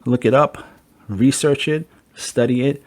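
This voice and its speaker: A man's voice: medium pitch, a little slow, darkish tone. A man hosting a casual podcast chat who talks in a loose way and swears freely. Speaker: podcast host.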